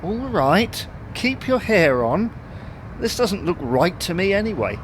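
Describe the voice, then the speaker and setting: A grumbling British husband acted by a man, on the high side for a man, with very lively intonation. He is long-suffering and placating in a domestic audio comedy. A little car noise sits behind him. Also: measured pace. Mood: exasperated.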